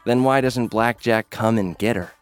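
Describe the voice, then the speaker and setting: A man's voice: medium pitch, normal speed, clean tone, faint music behind, close to an everyday voice. An actor playing a young, streetwise male influencer-fighter who narrates his own story in a wry, slangy way for an audio drama. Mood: cocky.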